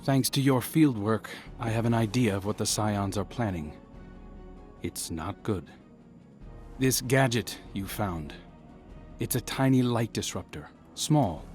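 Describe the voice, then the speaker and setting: An actor voicing a dry, wry young male scout in conversational game dialogue with soft music underneath. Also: fairly low pitch, lightly acted.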